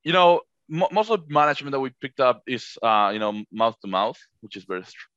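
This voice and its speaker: A man whose first language is Spanish, chatting on a podcast about managing rentals, halting with frequent restarts. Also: medium pitch, very animated, a bit bright, clean tone, no echo, highs cut off. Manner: halting, many restarts, laughs easily. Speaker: short-term rental manager. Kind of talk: casual podcast chat.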